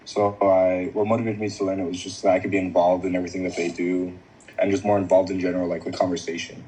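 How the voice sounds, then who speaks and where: Deep, flat-voiced young man. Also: fast talker, a little hiss or hum, low-quality recording. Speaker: podcast guest. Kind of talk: casual podcast chat.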